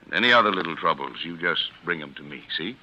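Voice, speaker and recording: A low, very bright and forceful voice of a middle-aged American lawyer, avuncular and earnest, reassuring as a kindly boss. It is 1940s radio drama, muffled by the old recording. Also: male voice, animated, fast, slight background noise, exaggerated voice. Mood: fatherly, reassuring. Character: fatherly, reassuring older male law boss. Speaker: defense attorney, head of a law office.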